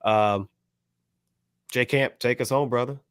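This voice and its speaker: A man's voice: medium pitch, slow, smooth, no room sound, studio quality. A man co-hosting a podcast in casual, slangy banter, often repeating phrases for emphasis. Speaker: podcast co-host.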